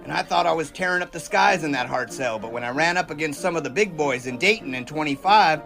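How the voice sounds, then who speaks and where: An actor playing a cheerful, eager American test pilot of the 1920s in an audio drama, with a folksy, teasing manner. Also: male voice, fairly high pitch, expressive, fast talker, gravelly, fairly bright tone, soft background music, poor audio. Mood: reflective, proud. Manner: folksy, jaunty, enthusiastic. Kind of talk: period audio drama acting.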